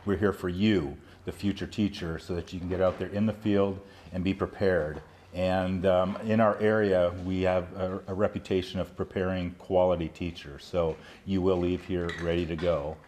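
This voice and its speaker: Low-voiced man explaining a program. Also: level tone, moderate pace, a little mellow. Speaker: early childhood education instructor. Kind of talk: explanatory promotional interview. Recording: noticeable background noise.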